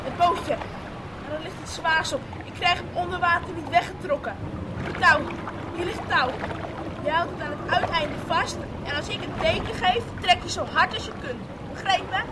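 A woman's voice: very high-pitched, normal speed. A woman playing a resolute young girl in a Dutch radio drama, giving urgent orders in short phrases over noisy water sounds. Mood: urgent, determined. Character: resolute young girl rescuing her sister from a flood.

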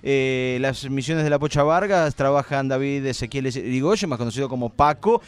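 A man's voice: medium pitch, moderate pace, clean tone. A man with an Argentine accent hosting a live radio show in an informal, chatty way. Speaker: radio host.

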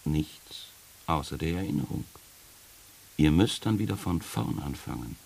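An actor playing a sardonic guide of the dead in a radio play, speaking in a wry, gravelly, unhurried and instructing way. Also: male voice, very deep voice, lively intonation, a little mellow, full character voice. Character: sardonic male guide leading the dead through trials. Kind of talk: 1980s German radio play acting. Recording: hissy early-1980s radio recording.

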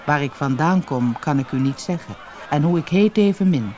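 A storybook narrator voicing a mysterious gaunt man, grave, courteous and formal, with a deep hollow voice. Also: animated, normal speed, a little mellow, lightly acted. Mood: solemn, courteous. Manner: formal, solemn, few words. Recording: noisy old cassette audiobook transfer.

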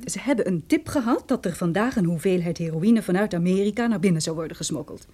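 An actress playing a brisk, stern young female lawyer in a radio drama, speaking in a precise, businesslike way. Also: lowish voice, expressive, warm tone. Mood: cool, official. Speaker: supporting actress. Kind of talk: radio detective drama acting.

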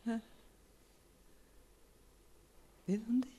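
A woman improvising a radio drama part as a reserved, guarded woman who speaks haltingly and repeats words. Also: a little high, fairly even intonation, mellow, breathy edge. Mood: subdued, uneasy. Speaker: radio drama workshop participant.

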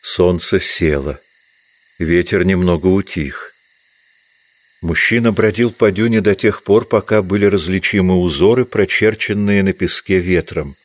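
Casting: male narrator with a very low voice for measured, literary audio drama storytelling. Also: fairly even intonation, dry recording.

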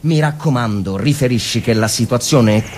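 A man's voice: medium pitch, moderate pace, darkish tone, a little hiss or hum, pitched above the performer's own voice, close to an everyday voice. A man playing a desperate army officer in the trenches, barking urgent orders in a dramatic reading of a war story. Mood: grim, exasperated.

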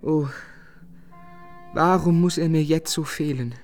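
An actor playing a brooding, devoted young painter in a literary radio drama, soft, earnest and romantic in manner. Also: male voice, fairly high pitch, steady tone, takes it slowly, faint music behind. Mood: anguished longing.

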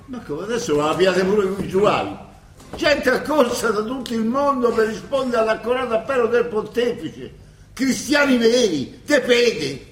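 High-voiced, sceptical Roman servant. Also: male voice, lively intonation, moderate pace, slight background noise. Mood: scandalised, sarcastic. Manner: querulous, echoing phrases back with doubt. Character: loyal, fussy, sceptical male servant-secretary to a monsignor. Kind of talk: theatrical radio drama dialogue.

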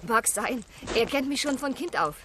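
An actress playing a playful, caring young country gentlewoman in a German radio drama, friendly, reassuring and teasing. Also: fairly high pitch, expressive, moderate pace, background noise, close to an everyday voice. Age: young adult.

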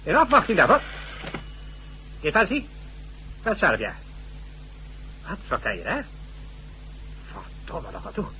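Calculating government official, authoritative, bright ringing tone, animated pitch, radio play. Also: male voice, measured pace, long pauses, slight background noise, exaggerated voice, low-quality recording. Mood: tense. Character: shrewd high-ranking male government official. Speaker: statesman addressed as excellency. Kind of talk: 1970s radio drama acting.

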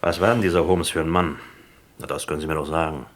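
A shrewd detective in a German radio crime play, with a low voice. He takes his time and probes with a sly, casual air. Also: fairly even intonation, fast talker, a little hiss or hum. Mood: casually coaxing. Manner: probing, slyly casual questioning. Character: shrewd, unhurried male American detective questioning suspects.

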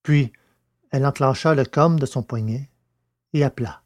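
A man reading scripted narration for a science-fiction audio serial in a measured, literary way. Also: medium pitch.

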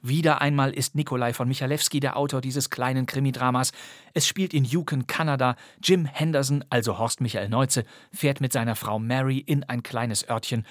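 A man's voice: mid-range voice, no room sound, clean studio sound. A man hosting a podcast in scripted, presenter-style narration. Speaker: podcast host.

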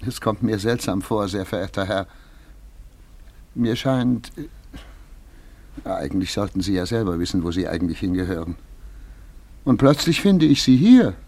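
A flustered major in a radio play, with a husky voice that swings widely in pitch. He is self-important yet nervous, stumbling through courtesies and indignant protests. The sound is close and dry. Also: animated, a little mellow, dry recording. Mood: nervous, halting. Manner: hesitant, halting, stammering politeness. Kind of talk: German radio play acting.

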